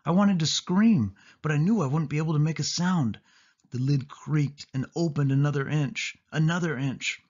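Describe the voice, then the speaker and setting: A man narrating a suspense story in the first person, with expressive, dramatic delivery. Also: on the high side, moderate pace, breathy edge, highs cut off. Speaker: audiobook narrator.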